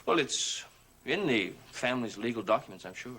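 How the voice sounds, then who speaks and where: An actor playing a scheming, evasive occultist relative in a gothic television soap, speaking in a measured, conspiratorial way. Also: male voice, medium pitch, lively intonation, bright, forceful tone, slightly rough, full character voice. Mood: sly, insinuating. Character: scheming, smooth male occultist relative after an inheritance.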